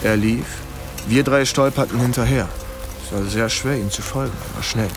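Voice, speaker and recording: Actor as earnest army lieutenant, music behind. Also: male voice, medium pitch, normal speed, lightly acted. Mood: sober, recollecting. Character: sober, bewildered army lieutenant and writer recalling the war. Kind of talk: radio play narration and dialogue.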